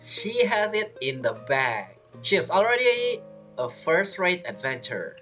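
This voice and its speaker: A joking woman doing casual game commentary. Her voice sits a little low but swings expressively, with soft music in the background. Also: very animated, a little slow. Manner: playful, joking. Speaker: gamer reacting to a story quest.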